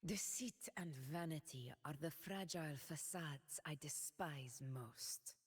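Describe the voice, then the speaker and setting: Proud noble ruler in a game, solemn, measured, slow-spoken, echoing hall. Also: female voice, deep voice, slightly rough, heavily acted. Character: proud noble woman ruler, steadfast warrior. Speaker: game character voice lines. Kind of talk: game voice lines.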